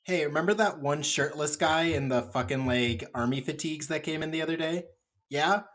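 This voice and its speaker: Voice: medium pitch, normal speed, a bit bright. A podcast co-host making casual, joking commentary with sarcastic and crude banter.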